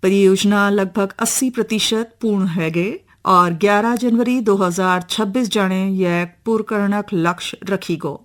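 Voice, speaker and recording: A woman's voice: mid-range voice, unhurried, few pauses. A woman with a Kumaoni accent reads a scripted regional news bulletin as a radio news reader.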